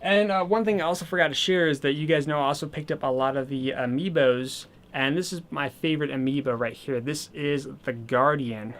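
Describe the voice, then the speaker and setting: Man, slightly hoarse, somewhat high voice, rambling, casual haul vlog. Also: a little fast, hardly any pauses, a bit bright. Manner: chatty and rambling, with many fillers. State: slightly hoarse, losing his voice. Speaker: gaming channel host.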